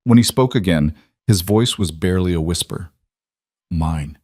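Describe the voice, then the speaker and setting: A man's voice: low-pitched, brisk, a little mellow, no echo. A man reading scripted dramatic fiction as an audiobook narrator, close on the microphone.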